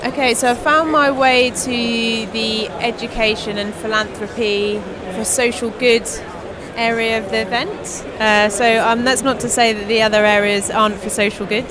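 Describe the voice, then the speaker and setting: A woman's voice: on the high side, steady tone, normal speed, crowd noise. A woman hosting a podcast, casually interviewing guests on location at a busy conference. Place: busy tech conference venue. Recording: noisy event floor, constant background din.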